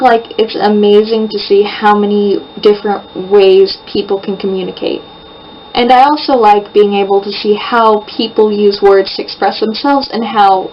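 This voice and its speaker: A young woman from the United States talks casually and rambles, with plenty of fillers and restarts. She sounds a bit distant from the microphone, and the recording is slightly muffled. A faint hiss runs underneath her voice. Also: medium pitch. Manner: chatty, rambling, lots of fillers. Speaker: vlogger. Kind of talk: casual chat to camera.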